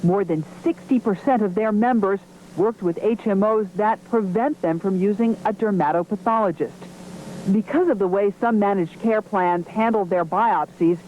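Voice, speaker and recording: A woman's voice: mid-range voice, moderate pace, mellow, muffled recording, a little hiss or hum. A woman reporter reading scripted narration for a television news report.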